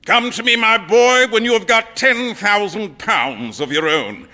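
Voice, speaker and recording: A man's voice: high voice, expressive, measured pace, pushed and bright, long reverb tail, pitched above the performer's own voice, full character voice. A man voicing a pompous, ill-tempered older retired colonel in a literary story reading. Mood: blustering condescension.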